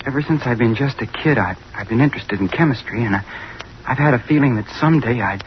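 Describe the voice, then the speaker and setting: This is a dull vintage radio recording with faint noise. A man plays an awkward young chemistry student with a medium-pitched voice, earnest and ambitious. He speaks hesitantly and very politely, quick to apologize. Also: natural voice. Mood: earnest, dreamy. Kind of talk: old-time radio drama acting. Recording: muffled, noisy old radio recording.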